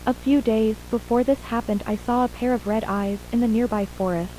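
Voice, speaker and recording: Shaken mother, high mellow voice, acted by a woman. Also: fairly even intonation, brisk, close to an everyday voice, poor audio. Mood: subdued, uneasy. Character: shaken mother and wife, surviving witness of an attack. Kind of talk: acted interview in an analog horror audio drama. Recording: faint hum and hiss.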